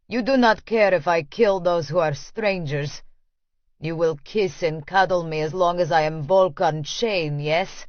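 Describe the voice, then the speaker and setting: A woman voicing a menacing, coldly calm male killer in an audiobook, speaking deliberately and formally. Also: sits low, no room sound, lo-fi sound. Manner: deliberate, formal, coolly threatening.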